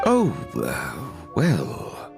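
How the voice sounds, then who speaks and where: An actor playing a dignified, reserved dragon judge in a game, speaking formally and earnestly. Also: male voice, a bass voice, wildly swooping pitch, vocal fry, music in the background, heavily acted. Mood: awkward, polite. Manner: formal, measured, earnest, stiffly polite. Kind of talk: animated game voice acting.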